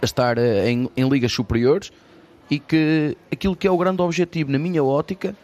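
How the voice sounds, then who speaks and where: A man's voice: a little high, a little fast, a little mellow. A man with a European Portuguese accent, a lecturer giving a radio interview in earnest, formal, academic phrasing. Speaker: studio guest, university sports lecturer.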